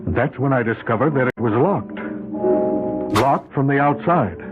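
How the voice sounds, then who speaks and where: An actor playing an alarmed but level-headed, insistent pilot in an old-time radio mystery drama. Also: male voice, mid-range voice, lightly acted. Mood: tense, brooding. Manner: measured suspenseful delivery, probing questions. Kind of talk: old-time radio drama acting, first-person narration and dialogue. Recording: tinny old radio broadcast, loud music.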